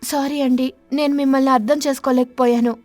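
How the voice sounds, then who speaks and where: Cartoon pigeon wife played by a woman: high, kind, loyal, conciliatory, dry studio sound with faint music. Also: flat delivery, fairly quick, darkish tone, dry recording, lightly acted. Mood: apologetic.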